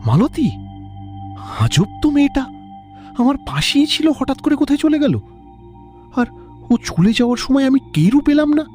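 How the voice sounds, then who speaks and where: A man's voice: very high-pitched, darkish tone, exaggerated voice. A man playing a proud, insistent young landlord's heir in a cartoon, speaking in a theatrical, emphatic way. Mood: bewildered. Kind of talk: dramatic cartoon voice acting.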